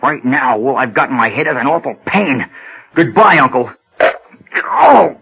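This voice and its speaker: Earnest, stammering reluctant candidate, pained, lively pitch swings, radio drama, dull old-recording sound. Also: male voice, moderate pace, creaky. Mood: pained, irritable. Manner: hesitant, stammering, sincere. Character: earnest, clean-living man pained by headaches, reluctant political candidate.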